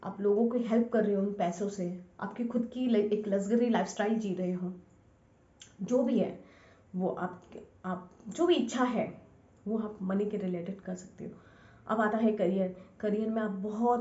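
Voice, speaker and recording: A woman's voice: mid-range voice, mellow. A woman giving an informal instructional talk, addressing the listener directly, repeating phrases and mixing in English terms. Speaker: instructor, scientist. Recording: echoey room, dull lo-fi sound.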